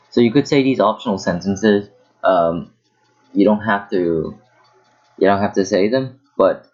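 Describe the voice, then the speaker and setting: A man's voice: low voice, darkish tone, lo-fi sound. A young man teaching a casual online language lesson, rambling and joking, correcting himself as he goes. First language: not Japanese. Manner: rambling, self-correcting, jokey.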